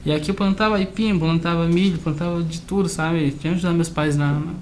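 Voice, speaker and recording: Higher-voiced man, noisy recording. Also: fairly even intonation, quick pace, darkish tone. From grew up between southern Brazil and Argentina. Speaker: interview guest. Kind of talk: casual personal storytelling in a conversational interview. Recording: clear background noise.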